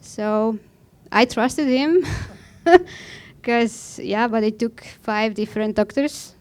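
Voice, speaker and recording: Clear-voiced woman with an Estonian accent. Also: fairly high pitch, slow. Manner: blunt, informal, non-native phrasing. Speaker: panel guest, professional snowboarder. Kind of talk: conversational personal account on a panel.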